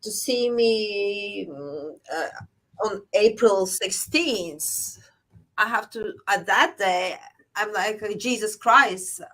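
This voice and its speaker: A woman from Latvia, a surgeon, speaking as an interview guest in a halting way, repeating words as she goes. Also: mid-range voice, a bit bright, rough recording. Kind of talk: interview conversation.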